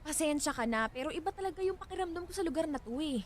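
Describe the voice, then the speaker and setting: Anxious, high-voiced young wife. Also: female voice, expressive, fast, slight background noise. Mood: pleading worry. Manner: nervous, pleading, hesitant. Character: anxious newlywed wife, timid, worried and pleading. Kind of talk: radio drama acting.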